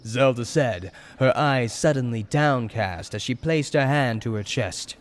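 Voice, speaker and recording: A man's voice: medium pitch, a little slow, slight background noise. A man giving dramatic scripted narration of a story.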